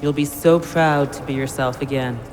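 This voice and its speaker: A man's voice: mid-range voice, steady tone, moderate pace, natural voice. An actor playing the luring ghost of a dead man in an audio drama, persuasive and soothing yet menacing. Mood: coaxing, menacing. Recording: long reverb, noisy ambience.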